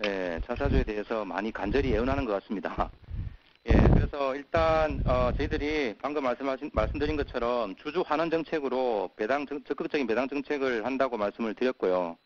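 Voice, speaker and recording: A man presenting as a company executive on an earnings call, pausing often with filler sounds. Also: medium pitch, a little hiss or hum. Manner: halting, many filler sounds. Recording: muffled conference call audio.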